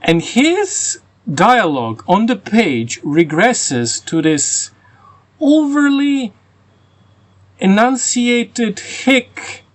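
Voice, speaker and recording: A man's voice: very high-pitched, very animated, extremely slow. A man with a Croatian and Russian accent, reviewing a book casually and venting his frustrations, with fillers and restarts. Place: rural home.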